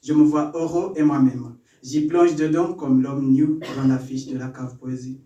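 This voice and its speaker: Young man, English speaker, open-mic poet; rhythmic, self-deprecating delivery, mid pitch, distant stage mic, roomy live echo. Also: fairly even intonation, measured pace, dark tone, lo-fi sound. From Kenya. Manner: rhythmic, building recitation with self-deprecating asides. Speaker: performance poet. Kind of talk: spoken-word poetry at an open mic. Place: small stage in a cellar venue.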